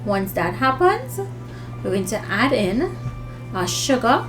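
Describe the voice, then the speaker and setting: A woman's voice: on the high side, big pitch swings, takes it slowly, music in the background, poor audio. A woman presenting a recipe for children in an instructive, friendly demonstration style, speaking directly to them.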